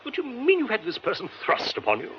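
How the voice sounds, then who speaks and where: An actor playing a pompous, touchy stage star in a British radio drama, grandiloquent and emphatic. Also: male voice, sits high, huge pitch swings, fast talker. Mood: indignant. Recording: muffled radio drama recording, clear background hiss.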